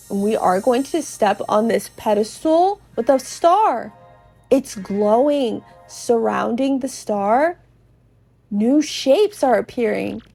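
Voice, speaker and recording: A woman hosting a game podcast, narrating play dramatically with drawn-out, descriptive phrasing and a sense of wonder. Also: medium pitch, creaky, mellow. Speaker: podcast host playing a video game.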